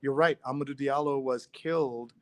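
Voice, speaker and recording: A man's voice: mid-range voice, fairly even intonation, moderate pace, a bit bright, no echo. Dry-sounding middle-aged man hosting casual chat. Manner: conversational, interjecting questions and asides. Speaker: host of a live read-along show. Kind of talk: casual on-air chat about newspaper stories.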